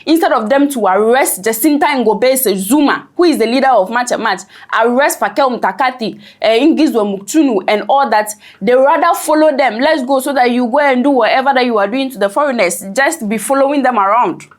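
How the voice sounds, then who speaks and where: A woman walks viewers through a news story in a chatty, conversational way. Her voice sits a little high, and she keeps checking in with "right?" as she explains. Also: brisk, few pauses. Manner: conversational, often asks "right?". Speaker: news commentator.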